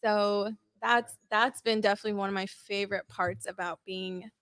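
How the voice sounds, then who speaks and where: Young female creator guest, lively casual storyteller, mid-range voice, dry sound. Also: mid-range voice, moderate pace, dry recording. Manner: chatty, animated storytelling with "like" fillers. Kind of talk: casual podcast interview.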